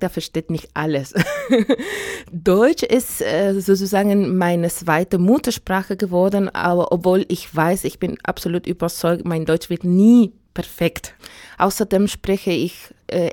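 Chilean woman, middle-aged, non-native German, hesitant and thoughtful, mellow timbre, dry studio. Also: medium pitch, no room sound. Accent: Chilean Spanish. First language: Spanish. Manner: thoughtful, hesitant, many fillers. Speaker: interviewee. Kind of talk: casual radio interview.

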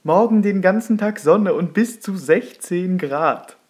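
A man's voice: high-pitched, measured pace, a little mellow. An actor voicing a male social media commenter in a radio play collage, with a factual, declarative delivery. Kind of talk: radio play collage reading of online posts.

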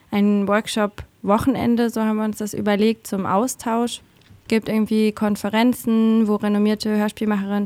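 A woman speaks reflectively in a conversational interview. Her pitch is middling with little movement, and the sound is dry. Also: darkish tone, no echo. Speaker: association organizer, interviewee.

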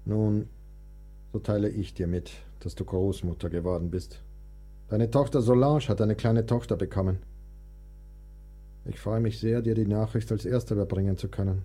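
An actor playing a proud, wounded 19th-century composer in a German radio play, with a theatrical, formal literary delivery. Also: male voice, lowish voice, moderate pace, pauses often, dark tone, close to an everyday voice. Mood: formal, restrained.

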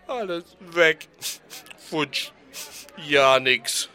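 A man's voice: fairly high pitch, animated, very slow, sharp tone, exaggerated voice. A man playing a naive, plaintive little milk bottle in a radio play, with a Rhineland accent and a simple, folksy, questioning manner. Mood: tearful, plaintive. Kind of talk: German political radio play.

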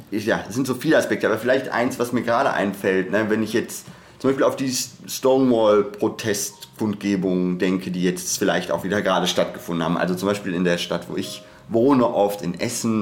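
This is a podcast co-host with a fairly low-pitched voice. The delivery is conversational and reflective, in an academic register. Sentences branch out, break off and get reworded as the thought develops. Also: fairly quick. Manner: discursive, hesitant, self-interrupting academic talk.

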